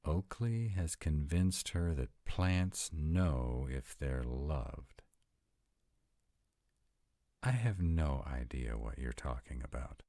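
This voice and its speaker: A very deep, dark-toned male vampire, acted by a man for a roleplay audio drama. He is sarcastic and grudgingly devoted, with lively swings in his low voice and a dry, reluctant delivery. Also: slow, no room sound, full character voice. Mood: incredulous. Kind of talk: scripted roleplay audio drama, one side of a conversation.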